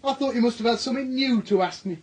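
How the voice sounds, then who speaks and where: An actor playing a sullen, guarded young murder suspect in a radio drama, terse and defensive. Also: male voice, extremely high pitch, quick pace, breathy edge.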